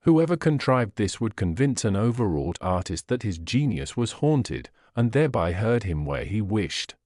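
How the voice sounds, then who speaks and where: A man reads a Victorian detective tale in a low, expressive voice. His storytelling is formal and measured, with literary polish, and the sound is close and dry. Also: dry recording. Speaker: narrator. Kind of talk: scripted audiobook narration of a Victorian detective story.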